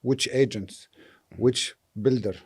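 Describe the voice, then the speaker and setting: A middle-aged man from Lebanon, a property investor, answering interview questions thoughtfully with many pauses and fillers. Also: mid-range voice, a little mellow, breathy edge. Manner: thoughtful, hesitant with frequent fillers.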